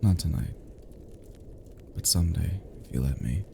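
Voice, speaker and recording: Deep, velvety man playing a tender male friend. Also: normal speed, gravelly, slight background noise. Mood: tender, confessional. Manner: soft, murmuring, gently teasing, affectionate. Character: tender, flirty male best friend lulling listener to sleep. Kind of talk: intimate roleplay ASMR.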